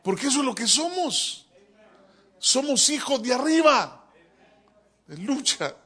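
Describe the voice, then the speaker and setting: A man preaching a sermon as a pastor, animated and joking, often addressing his listeners as brother. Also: very high voice, slow, husky. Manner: animated, joking, keeps calling listeners "hermano". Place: church.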